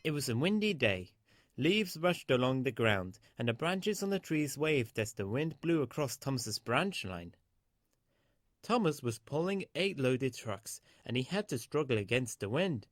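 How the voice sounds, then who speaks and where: A man's voice: mid-range voice, big pitch swings, a little slow, no room sound, studio quality. A man reading a children's story aloud as scripted narration.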